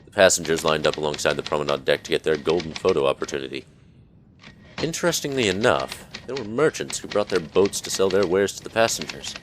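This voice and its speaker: A man playing a grave, courteous investigator in a radio play, with a measured, brooding way of speaking over music. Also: very deep voice, expressive, slight background noise. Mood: reflective. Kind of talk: radio play acting with journal narration. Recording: music behind the voice.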